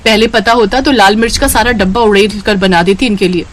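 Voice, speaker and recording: A woman voicing a young new bride in an animated storybook, put-upon and grumbling, talking to herself in exasperated asides. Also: mid-range voice, brisk, close to an everyday voice. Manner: exasperated, self-mocking asides.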